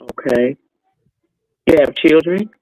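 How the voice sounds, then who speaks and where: A woman chats on a live stream. She is easygoing and unhurried, asking plain, simple questions as she keeps an eye on the chat. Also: low voice, expressive, a little mellow, low-quality recording. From North Carolina. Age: 50s. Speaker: guest co-host.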